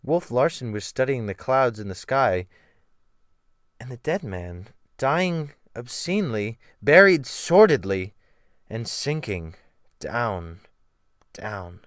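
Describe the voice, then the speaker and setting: A man's voice: mid-range voice, big pitch swings, slow pace, no room sound. A man giving a dramatic, theatrical reading of literary narration, with strong swells of emphasis, close to the microphone. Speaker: audiobook narrator. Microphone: close USB microphone.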